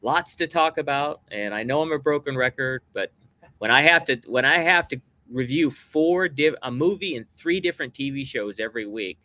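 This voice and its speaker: A man hosting a casual sci-fi review podcast, talking loudly and upbeat, projecting his voice. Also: mid-range voice, animated, normal speed, no room sound. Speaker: podcast host.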